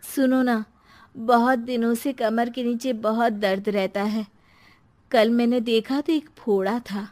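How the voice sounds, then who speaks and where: A woman's voice: a little high, fairly even intonation, unhurried, darkish tone, close to an everyday voice. A woman playing a timid, long-suffering middle-aged housewife in a dramatised story, meek and hesitant. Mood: worried. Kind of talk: dramatised Hindi moral story.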